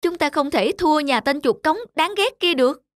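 Fretful, competitive fairy-tale mother mouse female performer, notably high-pitched, dry sound. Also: animated, normal speed, no echo. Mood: worried, competitive. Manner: fretful, status-conscious.

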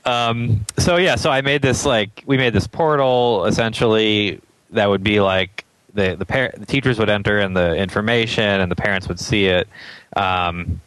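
Very deep-voiced man, relaxed guest. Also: normal speed, clean tone, no room sound, rough recording. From Atlanta, US. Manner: relaxed, thinks aloud with many ums, laughs often. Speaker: interview guest, startup founder. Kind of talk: casual interview chat.

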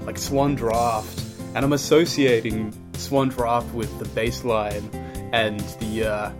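A man's voice: mid-range voice, flat delivery, slow pace, music in the background. A man chatting in a mock-serious, joking way as a podcast co-host. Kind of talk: casual comic chat with co-host.

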